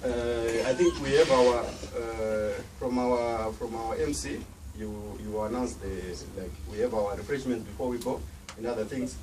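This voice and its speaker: A man's voice: medium pitch, fairly even intonation, unhurried. A man giving closing remarks at an event, hesitant, with many fillers. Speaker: event organizer. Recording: distant mic, clear background noise.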